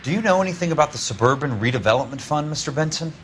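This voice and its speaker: An actor playing a plain-spoken, dogged American insurance investigator in a period noir video game. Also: male voice, a little high, animated, racing, lightly acted. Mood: dogged, businesslike. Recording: faint background noise.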